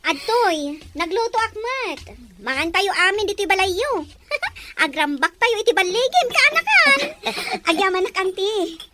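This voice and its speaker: A woman's voice: extremely high pitch, very animated, unhurried, heavy background noise, heavily acted. A woman acting a dutiful young graduate, hurt yet kind, in an audio drama with an Ilocano accent. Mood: happy, grateful.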